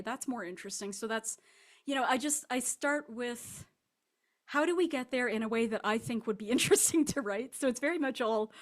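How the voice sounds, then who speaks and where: A woman speaking as an author guest in a casual, reflective interview, animated and thinking aloud as she explains her writing. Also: on the high side. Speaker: author guest discussing her short story.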